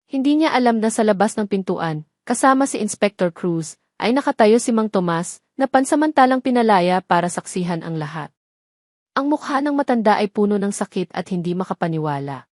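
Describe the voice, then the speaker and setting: A woman's voice: medium pitch, animated, a little fast, a little mellow, no echo. Woman narrating a drama, dry close sound. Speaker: story narrator.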